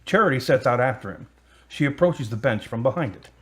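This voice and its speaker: A man's voice: medium pitch, lively intonation, fairly quick, fairly bright tone, breathy edge. A man reading scripted narration as the narrator of an audio drama. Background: music.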